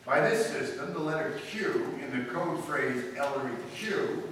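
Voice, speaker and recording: A man acting a confident, sharp detective explaining a solution in a live old-time radio play. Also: fairly high pitch, lively intonation, slight background noise. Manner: brisk, explaining, self-assured. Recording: echoey hall, mic heard from far.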